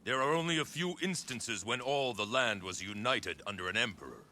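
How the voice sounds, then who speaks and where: A man's voice: medium pitch, expressive, fairly quick, sharp tone. An actor playing an ambitious male warlord in a video game cutscene, speaking with authority and probing persuasion. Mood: commanding.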